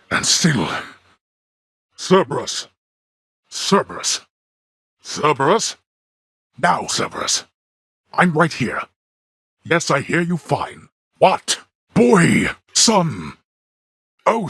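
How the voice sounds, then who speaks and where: An actor playing a grim, imperious god of the dead and stern father in a video game, with a husky, gravelly voice and formal, archaic, menacing delivery. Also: fairly high pitch, very animated, drawn-out words, long pauses, a bit bright, no room sound, clean studio sound, exaggerated voice. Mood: grave, defeated, then exasperated shouting. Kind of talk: theatrical video game villain acting.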